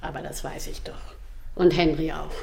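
A woman playing a brisk, bossy but good-hearted hotel employee with a teasing manner, in a German children's radio drama. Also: deep voice, steady tone, creaky, a little mellow, roomy sound, a little hiss or hum. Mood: amused, kind. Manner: firm, teasing.

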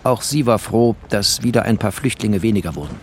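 A man's voice: on the low side, expressive, fairly quick, a little hiss or hum, lightly acted. A man playing a bookish, wry war refugee who narrates a literary radio play in clipped, poetic phrases. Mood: wry, observant.

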